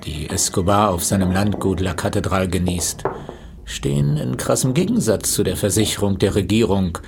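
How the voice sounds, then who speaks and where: A man narrates a scripted documentary with a low voice full of gravelly creak. He is measured and matter-of-fact. A faint ambient noise sits behind him.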